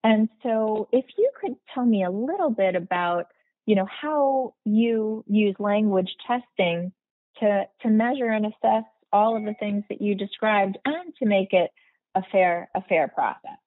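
A woman's voice: medium pitch, measured pace, dry recording. A woman hosting a podcast interview, framing her questions fluently and at length. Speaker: podcast host. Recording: tinny phone-like line, dry.

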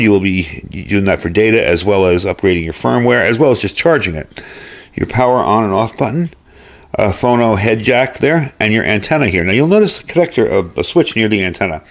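A man's voice: lowish voice, lively intonation, fairly quick. A man giving a casual hands-on gear review as host, explaining things informally, step by step.

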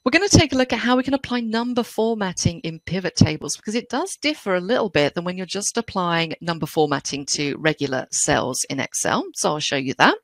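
Middle-aged London-accented woman trainer, lively and lowish, recorded dry and close. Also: a little fast, few pauses, no echo, rough recording. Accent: London English. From England. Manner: chatty, explanatory, animated delivery. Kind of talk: webinar software tutorial.

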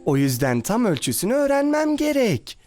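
Cartoon insect con artist: high voice, theatrical, ingratiating poet's delivery over loud music. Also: male voice, huge pitch swings, normal speed. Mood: sly, coaxing. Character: smooth-talking, scheming male insect poet. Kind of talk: dubbed children's cartoon acting.

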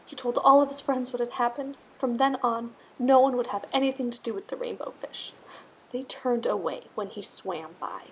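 A woman narrating a children's storybook aloud in an expressive, scripted style. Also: a little high, normal speed, slight background noise, poor audio. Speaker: storybook reader.

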